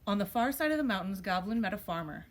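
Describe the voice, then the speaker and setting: A woman's voice: mid-range voice, animated, fast talker. Woman reading a storybook, noisy recording. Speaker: pre-K teacher reading aloud. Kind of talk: expressive children's storybook read-aloud.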